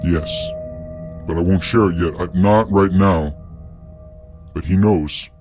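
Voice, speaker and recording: A paranoid whistleblower, blunt and conspiratorial. His lowish voice gives casual interview answers in a satirical audio drama. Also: slow pace, music in the background. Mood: insistent, guarded. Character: agitated, paranoid male ex-employee whistleblower.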